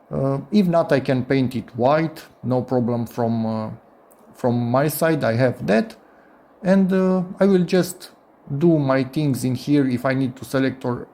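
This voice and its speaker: A man's voice: mid-range voice, a little mellow. A man talking informally and a little hesitantly through a hands-on DIY demonstration, thinking aloud as he goes. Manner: informal, hesitant, self-narrating. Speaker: host of a DIY electronics channel.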